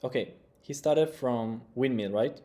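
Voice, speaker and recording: A man's voice: medium pitch, measured pace, warm tone. A young man, casually reacting to a dance tutorial and thinking aloud in loose grammar from a small room. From Italy. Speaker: breaking coach reacting to tutorials.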